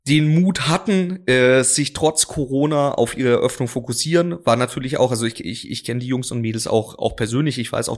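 A man in casual interview chat, his voice at an ordinary middle pitch. He rambles through his answers, full of fillers and restarts. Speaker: drinks brand ambassador, guest.

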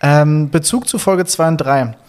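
A young man with a Berlin accent co-hosting a casual podcast, relaxed and chatty, with lots of fillers and little chuckles. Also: on the high side, unhurried, smooth. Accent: Berlin German. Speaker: podcast co-host. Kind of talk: casual couple podcast chat.

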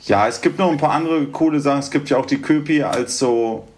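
A man from Göttingen with plain standard German, a guitarist guest chatting relaxed in a podcast interview. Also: medium pitch, fairly quick. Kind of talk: casual interview chat.

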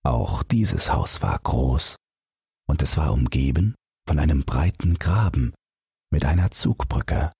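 A man with an extremely low, heavily husky voice reads a bedtime fairytale. His delivery is unhurried and expressive, with lively rises and falls. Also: dry recording, clean studio sound. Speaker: audiobook narrator. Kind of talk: scripted bedtime fairytale narration.

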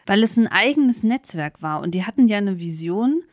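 A woman co-hosting a podcast, telling stories in an animated, giggly way in casual, humorous chat. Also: medium pitch, normal speed, dry recording, studio quality. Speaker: podcast co-host.

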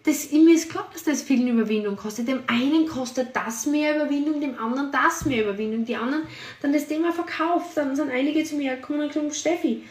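A woman hosting a motivational podcast. Her voice is high and shrill, and she talks with energy and emphasis, piling up repeated words. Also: fairly quick, reverberant, recorded from a distance. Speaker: podcast host and sales team leader.

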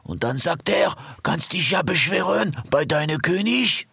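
A man voicing an aggrieved small drongo bird in a storybook, complaining bitterly in a raspy, creaky voice with broken grammar. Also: medium pitch, expressive, measured pace, sharp tone, no echo, studio quality, pitched above the performer's own voice, heavily acted.

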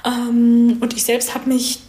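A woman's voice: fairly high pitch, completely flat delivery, takes it slowly, warm tone. A woman in her twenties giving casual, chatty answers as a student being interviewed. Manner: chatty, informal.

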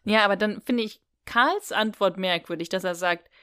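A woman's voice: medium pitch, moderate pace, sharp tone, clean tone, dry recording, clean studio sound. A woman co-hosting a podcast, casually discussing an audio drama in a colloquial, questioning way. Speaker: podcast co-host.

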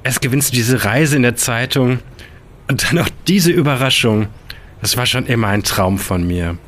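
A man's voice: medium pitch, moderate pace, fairly bright tone, lightly acted. A man playing a grateful, easygoing fiancé in an audio drama. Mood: delighted.

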